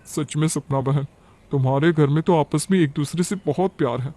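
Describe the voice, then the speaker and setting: A man's voice: on the high side, normal speed, mellow, lightly acted. A man voicing a complaining middle-aged neighbour woman in a cartoon family story, plaintive and gossipy. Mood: aggrieved.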